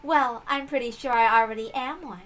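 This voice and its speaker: A self-assured young lady detective from a visual novel , as a woman acts her. Her voice is high and bright, with polite, formal phrasing and a condescending edge. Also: fairly even intonation, a little fast, faint music behind, natural voice. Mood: smug.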